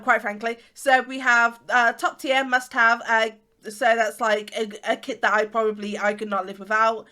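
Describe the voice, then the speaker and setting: A woman's voice: high-pitched, sharp tone, smooth. A woman in her twenties from England, congested from a cold, chatting casually and rambling with lots of fillers as a channel host. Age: 20s. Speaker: YouTuber. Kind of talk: casual chat.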